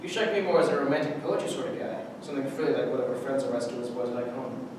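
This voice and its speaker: Over clear background noise in a very echoey room, a man plays a captive Russian officer on stage. His mid-pitched voice is dry, teasing and quietly defiant. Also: fast talker, natural voice. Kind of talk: staged play reading. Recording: very echoey room, distant mic, noisy rumble.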